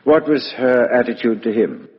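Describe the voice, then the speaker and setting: Actor as a blunt police inspector, muffled old radio. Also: male voice, medium pitch, normal speed, lightly acted. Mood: brisk, probing. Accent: British. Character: brisk, blunt male British police inspector questioning a witness. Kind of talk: 1950s radio drama acting. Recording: muffled vintage radio broadcast, reverberant studio.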